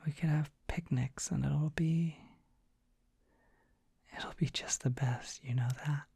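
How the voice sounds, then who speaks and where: Breathy whispering dorky dragon boy, acted out. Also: medium pitch, fairly even intonation, slow, dry recording, full character voice. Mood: gently hopeful. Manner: tender, bashful, hesitant, softly reassuring. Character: dorky, gentle young dragon boy, shy, devoted comforting partner. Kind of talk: ASMR roleplay, intimate comforting monologue.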